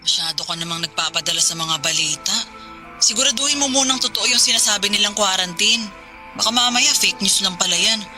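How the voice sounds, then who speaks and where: A Filipino woman playing a calm, skeptical working wife in casual, conversational radio drama dialogue. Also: mid-range voice, a little fast. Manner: casual, reassuring, mildly dismissive. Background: music.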